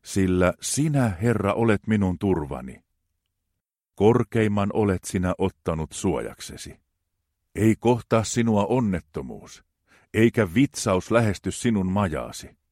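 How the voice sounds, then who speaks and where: Very deep-voiced man reading psalms. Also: expressive, darkish tone, no echo, clean studio sound. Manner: slow, measured, reverent reading. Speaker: scripture reader.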